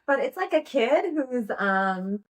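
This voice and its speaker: A woman's voice: a little high, very animated, slow. A woman in her thirties, a podcast guest chatting casually, rambling with many fillers and frequent giggles. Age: 30s. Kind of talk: casual interview chat.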